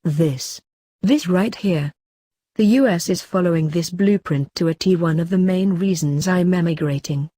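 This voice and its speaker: A woman narrating forum posts aloud in an even, steady read with no fillers. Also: lowish voice, moderate pace, no room sound, clean studio sound.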